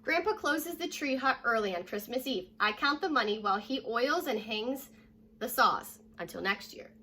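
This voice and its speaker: Animated young American woman reading aloud. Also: fairly high pitch, moderate pace, a little hiss or hum. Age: young adult. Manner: animated, addresses young listeners with questions. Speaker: teacher reading a picture book aloud. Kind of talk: children's read-aloud with short asides. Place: living room at home, indoors.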